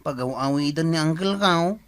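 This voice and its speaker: Man playing a bright, excitable young nephew. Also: fairly high pitch, normal speed, smooth, natural voice. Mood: hungry, reporting. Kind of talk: comic radio drama acting.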